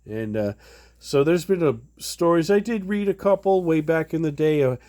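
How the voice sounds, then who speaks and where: A man's voice: fairly high pitch, expressive, moderate pace, mellow, dry recording, studio quality. A man casually showing items in a home vlog, rambling with many fillers and restarts. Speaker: vlogger. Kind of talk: casual show-and-tell vlog.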